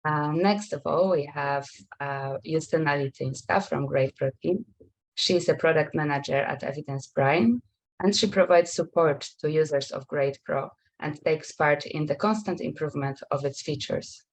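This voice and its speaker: A young woman hosting a webinar, reading out speaker introductions carefully and a little haltingly on a video call. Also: deep voice, low-quality recording.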